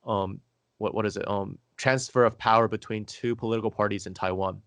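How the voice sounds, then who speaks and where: A man's voice: fairly low pitch, a little fast, no room sound. Man hosting a podcast, in a dry room. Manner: explanatory, steady, asks leading questions. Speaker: podcast host. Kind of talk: conversational history discussion.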